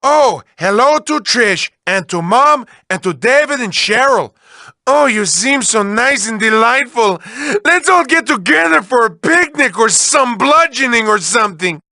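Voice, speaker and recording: A man's voice: animated, unhurried, sharp tone, no echo, clean studio sound, exaggerated voice. A man voicing a manic, whiny mad-scientist villain for a comic video game, hammy and given to erratic outbursts. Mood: theatrically gushing. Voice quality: high-pitched, shrill.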